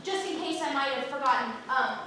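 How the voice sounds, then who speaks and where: A woman's voice: sits high, expressive, brisk, bright, forceful tone. A woman acting a polite, loyal, long-suffering townswoman from early modern England in a staged play reading, earnest and deferential. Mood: awkward. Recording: echoey theatre, distant mic, faint noise.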